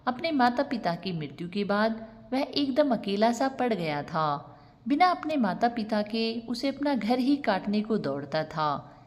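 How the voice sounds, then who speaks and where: A woman narrating a scripted moral story in an expressive, sentimental way. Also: mid-range voice. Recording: long reverb on the voice.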